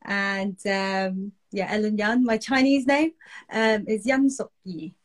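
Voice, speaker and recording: A woman in her thirties, born in the UK, co-hosting a casual podcast chat, talkative and expressive with many fillers. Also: mid-range voice, takes it slowly. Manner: chatty, expressive, lots of fillers. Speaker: podcast co-host, psychotherapist.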